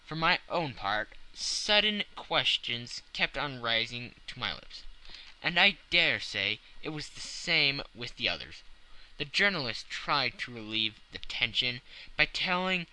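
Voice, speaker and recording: A man's voice: mid-range voice, pushed and bright, rough recording. A man narrating a classic novel as an audiobook in a dramatic, scripted reading style.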